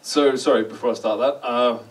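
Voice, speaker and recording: Young Australian guy on a stage, relaxed and self-mocking, a bit distant from the mic in a large hall. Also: medium pitch, brisk. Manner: chatty, self-deprecating, asks the audience questions. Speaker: conference speaker. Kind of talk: casual conference talk. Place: large dark lecture hall.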